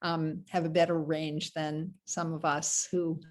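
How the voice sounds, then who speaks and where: A woman's voice: low voice, level tone, measured pace. An older American woman, a rabbi, leading an informal Torah study on a video call, talking in a loose, meandering way with many ums and asides. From United States.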